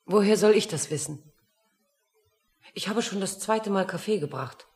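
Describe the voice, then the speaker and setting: A woman's voice: mid-range voice, normal speed, a little mellow, close to an everyday voice. A woman acting a brusque, busy waitress in a radio play. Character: brusque, busy waitress carrying coffee and orders.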